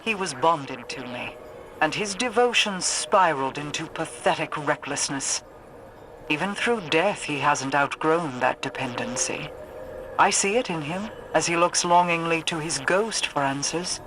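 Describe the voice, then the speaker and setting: A man's voice: fairly high pitch, animated, unhurried, noisy background. An actor playing a regal, ancient male ruler of his people, speaking in a lofty, disdainful way. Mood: haughty.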